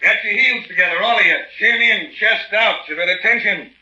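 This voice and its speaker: Sharp, forceful American army sergeant. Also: male voice, high-pitched, normal speed, a little hiss or hum. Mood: stern, barking. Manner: barking, clipped, slangy. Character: gruff, bullying army sergeant with a softer side. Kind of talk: 1940s radio drama acting. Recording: dull, band-limited 1940s radio recording.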